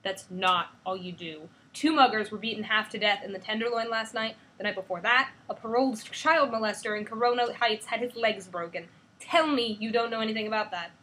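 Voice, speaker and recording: A woman's voice: on the high side, fairly quick, bright, forceful tone, a little hiss or hum, poor audio. A woman voicing a stern, protective male team leader who lectures in firm tones.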